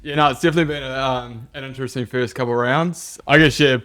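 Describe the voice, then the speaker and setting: A man's voice: mid-range voice, fairly even intonation, fast, fairly bright tone. A man with a New Zealand accent, a rugby coach chatting casually on a podcast in an office, laid-back and full of "yeah" fillers. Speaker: rugby union representative and coach.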